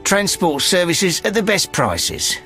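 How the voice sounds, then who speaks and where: A man's voice: high voice, very animated, slow pace, sharp tone, full character voice. A man playing a pushy ferryman touting for fares in a video game. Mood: impatient. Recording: music behind.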